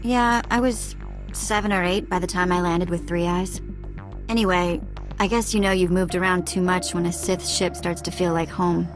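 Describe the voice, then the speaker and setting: An actress playing a streetwise young former slave in a sci-fi game, talking in a casual, wry, guarded way. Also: female voice, lowish voice, fairly even intonation, normal speed, music in the background, natural voice. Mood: wry, reflective. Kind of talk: naturalistic sci-fi video game acting.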